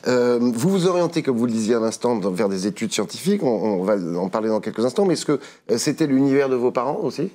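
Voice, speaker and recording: Man, interview host, studio, relaxed, hesitant, middle pitch. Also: fast talker, few pauses. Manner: relaxed, hesitant with many fillers.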